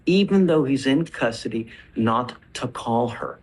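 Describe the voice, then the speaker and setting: A man's voice: medium pitch, animated, a little slow, darkish tone. A man speaking as a judge presiding over a court hearing, firm and blunt.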